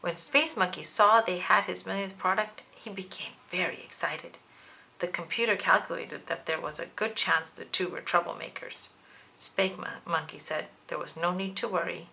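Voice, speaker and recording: A woman's voice: on the low side, moderate pace, creaky, bright, forceful tone. A woman reading a children's storybook aloud, narrating expressively and dramatically. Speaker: children's book reader.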